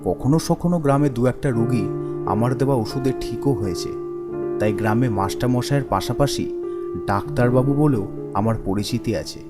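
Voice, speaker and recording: A man voicing a kindly, elderly retired village schoolmaster in a cartoon, speaking in a measured, gentle way. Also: mid-range voice, natural voice. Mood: reflective. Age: older. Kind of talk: cartoon character acting. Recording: music behind the voice.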